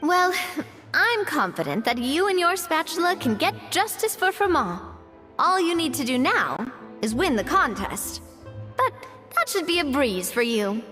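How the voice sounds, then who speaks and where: A woman's voice: sits high, big pitch swings, measured pace, sharp tone, faint music behind, full character voice. A woman playing a confident, plucky young woman in a video game, with a breezy manner. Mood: encouraging.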